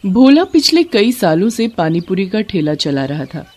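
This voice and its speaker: A woman's voice: mid-range voice, big pitch swings, normal speed, a little mellow. A woman narrating a scripted moral story for children as a storyteller.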